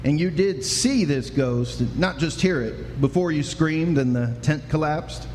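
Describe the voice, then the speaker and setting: A man acts a good-natured, bumbling Old West sheriff for a radio play. His fairly high voice is folksy and wry, hesitating often, in a reverberant hall with faint noise. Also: unhurried, a little mellow, natural voice. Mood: puzzled, wry. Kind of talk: live old-time western radio drama acting.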